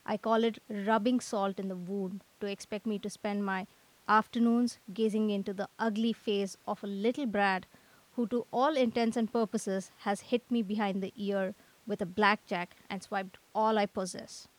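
A woman's voice: medium pitch, steady tone, normal speed, natural voice, low-quality recording. A woman playing a gloomy, aggrieved young male artist in a radio drama, with theatrical lamenting. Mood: bitter self-pity.

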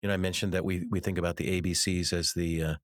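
Low-voiced American man, earnest storyteller. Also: level tone, fast talker, no echo, clean studio sound. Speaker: interview guest, author and ministry teacher. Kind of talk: conversational interview with anecdotes.

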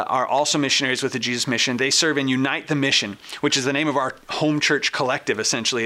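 American guy hosting a show, bright punchy voice, informal and enthusiastic, just talking to people. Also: mid-range voice. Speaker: show host. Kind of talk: conversational presenting and interviewing.

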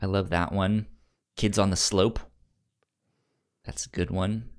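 A man's voice: low voice, fairly even intonation, slow pace, smooth. A man hosting a podcast in casual review chat, rambling a little as he thinks aloud. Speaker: podcast host.

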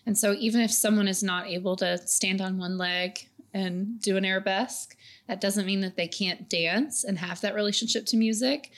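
Woman dance teacher, friendly and reflective podcast guest, mid-pitched. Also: fairly even intonation, normal speed, few pauses. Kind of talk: podcast conversation.

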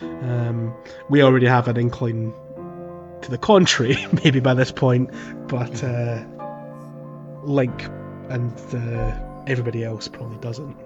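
Halting male podcast host, slightly dull lo-fi sound. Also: mid-range voice, flat delivery, music in the background. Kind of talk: casual video game discussion.

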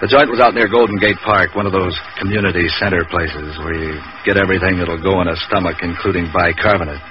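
An actor playing a tough, cynical private eye in a 1940s radio drama, terse, sardonic and wisecracking. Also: male voice, low voice, fairly even intonation, fast, music in the background, close to an everyday voice. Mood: wry, weary. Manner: terse, sardonic, hard-boiled. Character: tough, cynical, wisecracking male hired investigator. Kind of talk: 1940s hard-boiled radio acting and narration. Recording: muffled, noisy old radio recording.

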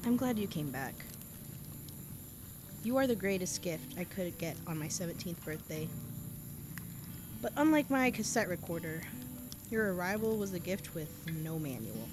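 A woman's voice: mid-range voice, lively intonation, a little slow, long pauses, close to an everyday voice. Woman doing a dreamy teen girl, inward and wistful, music under it. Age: teens. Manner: wistful, introspective diary-style monologue. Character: reflective teenage girl on the run. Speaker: narrator and lead character. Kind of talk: audio drama acting.

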